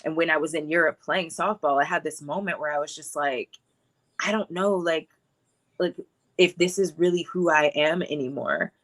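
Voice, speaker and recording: Young woman, from hawaii, video call guest, reflective storyteller, bright tone. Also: deep voice, normal speed. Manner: reflective storytelling with frequent "like" and "you know". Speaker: guest filmmaker and actress. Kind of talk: casual interview.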